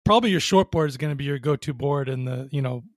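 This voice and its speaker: A podcast host interviews a guest in an easygoing, informal style. The voice is mid-pitched and recorded very dry, with an encouraging way of asking questions. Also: quick pace, no room sound. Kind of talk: casual interview chat.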